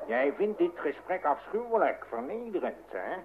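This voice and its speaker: An actor playing a wounded, accusing betrayed husband, declaiming insistently in old radio melodrama. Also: male voice, on the high side, sing-song, moderate pace. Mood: bitter, accusing. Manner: declamatory, bitter, insistent questioning. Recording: tinny, faintly noisy old radio recording.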